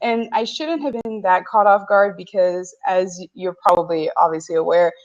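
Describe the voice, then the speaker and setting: A woman's voice: fairly low pitch, normal speed, lo-fi sound. A young woman from Canada whose first language is French, a guest analyst talking football in a casual interview. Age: young adult. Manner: analytical, lively football talk.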